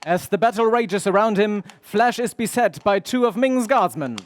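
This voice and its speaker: A man's voice: high-pitched, expressive, normal speed. A man narrating an adventure radio serial in a theatrical, suspenseful scripted style.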